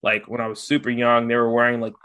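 A man's voice: medium pitch, completely flat delivery, fast talker, sharp tone, smooth. A young man, a guest on a sports podcast, chatting casually with many fillers and restarts. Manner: filler-heavy, lots of "like".

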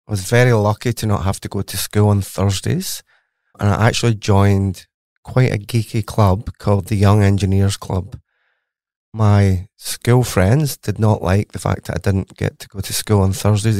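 A man's voice: on the low side, fairly even intonation, moderate pace, a little mellow, no room sound, studio quality. A middle-aged man with a Scottish accent, a scientist guest in a podcast interview, telling his story eagerly and conversationally. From Scotland.

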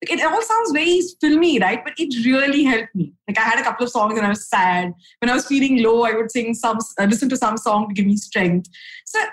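Candid low-voiced woman guest. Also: brisk. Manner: frank, upbeat, lots of fillers and "right?" tags. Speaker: podcast guest. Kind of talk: candid conversational storytelling.

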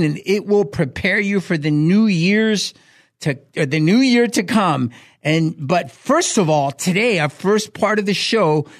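Upbeat pastor hosting a talk show. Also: male voice, high voice, no room sound, studio quality. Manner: upbeat, enthusiastic. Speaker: host, pastor. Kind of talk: radio talk show chat.

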